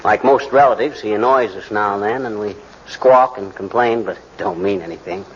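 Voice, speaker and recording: A man's voice: on the low side, moderate pace, natural voice. An American man playing a blustery, folksy middle-aged husband who grumbles and wisecracks in slangy 1940s radio comedy style. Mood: earnest. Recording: noisy, dull 1940s radio recording.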